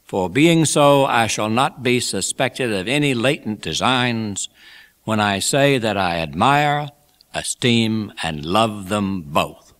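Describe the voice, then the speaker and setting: A man voicing a genial, effusive old gentleman from a classic storybook, making toasts in an emphatic, oratorical manner. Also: on the low side, lively intonation, takes it slowly. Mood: heartfelt, celebratory. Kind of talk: dramatised classic novel reading.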